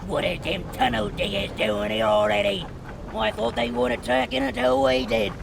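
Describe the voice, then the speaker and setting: A man voicing a crude, scrappy goblin underling with rough slangy speech and a gravelly voice, in a fantasy audiobook. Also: big pitch swings, moderate pace, heavily acted. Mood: indignant, vengeful. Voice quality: creaky, gravelly, high. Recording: clear ambient noise behind voice.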